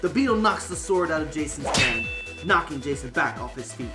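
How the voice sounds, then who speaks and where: A man's voice: fairly high pitch, big pitch swings, brisk, loud music behind the voice. A man reading scripted narration for an amateur fantasy reader's theater.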